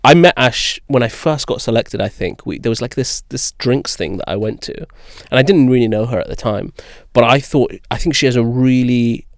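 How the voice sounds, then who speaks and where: A man co-hosting a podcast who chats in a quick, informal and jokey way. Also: mid-range voice, no echo, studio quality. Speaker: podcast co-host. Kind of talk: casual podcast conversation.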